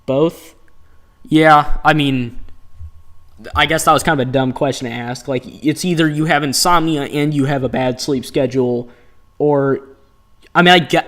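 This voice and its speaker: A man's voice: mid-range voice, fairly bright tone. A man live-streaming a dating game, reacting with sarcastic, incredulous commentary and reading options aloud.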